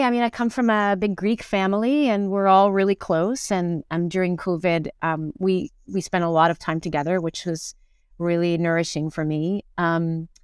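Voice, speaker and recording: A woman's voice: lowish voice, clear, resonant tone, no room sound, studio quality. A woman talking casually and reflectively as an interview guest, often thinking aloud in broken-off phrases. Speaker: interview guest, stage actor.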